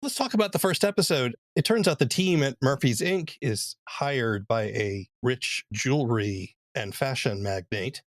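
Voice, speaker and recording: Male podcast host, mid-pitched, curious questioner, dry close mic. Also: expressive, a little slow, few pauses, no echo. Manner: curious, asks long questions, frequent short agreement. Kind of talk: casual podcast interview.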